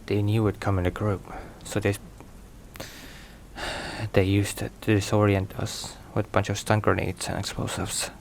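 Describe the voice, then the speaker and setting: A man playing a stern, protective, weary mafia boss in an intimate ASMR roleplay, speaking in a grave, measured, halting way. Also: on the low side, gravelly. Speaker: voice actor. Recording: steady rain and thunder noise. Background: rain and thunder.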